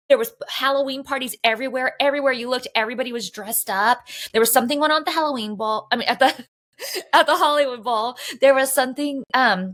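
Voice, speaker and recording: Chatty higher-voiced woman co-host. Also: fairly bright tone. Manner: chatty, rambling, heavy use of "like". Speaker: podcast co-host. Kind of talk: casual chat, storytelling. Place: Los Angeles area home.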